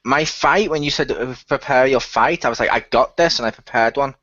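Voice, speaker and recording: A man in a casual group chat, telling stories in an animated way, acting out quotes and swearing freely, on a slightly lo-fi recording. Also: medium pitch, fairly quick, no room sound. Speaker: radio play cast member on a wrap-up discussion.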